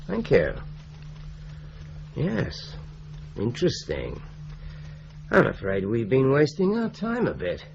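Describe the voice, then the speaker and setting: A methodical inspector in an old radio play, asking brisk, probing questions with a lively, rising and falling voice. The recording is dull and lo-fi, with a faint hiss under it. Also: male voice, medium pitch, vocal fry, exaggerated voice. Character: methodical male police inspector, middle-aged. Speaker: police inspector. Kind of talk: radio drama acting.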